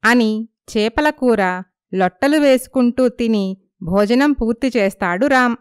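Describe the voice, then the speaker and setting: Lady story narrator, smooth voice, on the high side, moral tale read. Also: a little slow, dry recording, clean studio sound.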